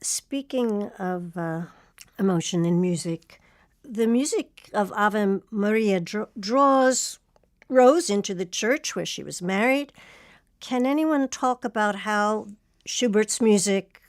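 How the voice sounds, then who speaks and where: A woman interviewer speaks at an unhurried pace in a dry, close recording. She is articulate and measured, posing thoughtful questions as an arts host. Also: mid-range voice, dry recording.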